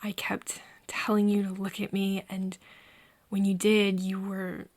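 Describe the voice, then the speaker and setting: A woman playing a frightened but stubborn human confiding in a vampire friend in an intimate ASMR audio drama, with a husky, breathy voice and hesitant delivery. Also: medium pitch, completely flat delivery, darkish tone. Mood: soft, reflective. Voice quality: breathy, husky, often dropping to a whisper. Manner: hesitant, halting, stammering over words.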